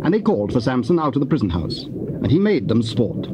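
A man narrating scripture as part of an audio drama, solemn, measured and formal. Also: medium pitch, sing-song. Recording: dull, faintly noisy cassette recording.

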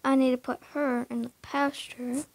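Young woman with a creaky, husky voice. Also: high-pitched, level tone, moderate pace, no room sound. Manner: hesitant, lots of fillers, drops into whispers. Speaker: gamer narrating her horse barn. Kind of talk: casual game commentary.

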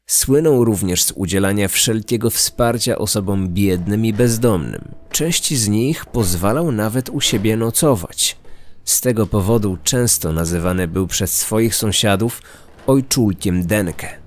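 A man narrating a scripted true-crime podcast in a measured, articulate manner. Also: lowish voice.

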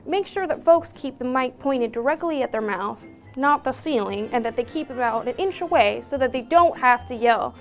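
A young woman with cochlear implants giving a plain testimonial and clear tips into a handheld mic. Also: high voice, lively intonation, moderate pace, faint music behind. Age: young adult. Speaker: interviewee and presenter.

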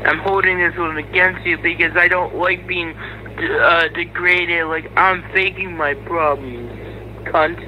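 A man's voice: fairly high pitch, steady tone, unhurried, noisy background. A man giving an angry, profane rant in an aggrieved, sarcastic manner. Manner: aggrieved, sarcastic, foul-mouthed. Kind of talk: angry, profane rant to camera and recorded audio.

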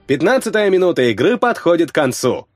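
A man voicing an excitable esports commentator in an audiobook, with hyped, emphatic delivery. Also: on the high side, a little fast, no echo, studio quality, soft background music, pitched above the performer's own voice. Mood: excited, hyped. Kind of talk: audiobook character voice, game commentary.

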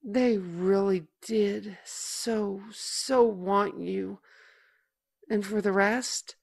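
Tender, protective big sister. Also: female voice, mid-range voice, fairly even intonation, creaky, darkish tone, exaggerated voice. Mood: pleading. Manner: tender, halting, emotional. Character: protective, tender older sister explaining family past. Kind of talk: audio drama dialogue.

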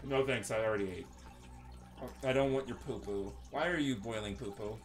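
A man's voice: fairly low pitch, moderate pace. A man doing casual, rambling gameplay commentary as host of a gaming video, with a sarcastic, joking manner.

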